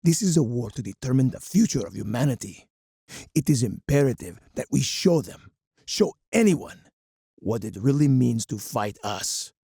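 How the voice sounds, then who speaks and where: An actor playing a hard, commanding older colonel in a strategy game, husky and gravelly, with stern, deliberate oratory. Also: male voice, medium pitch, very animated, dark tone, no room sound, studio quality, exaggerated voice. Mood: intense resolve. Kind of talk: dramatic scripted game character monologue.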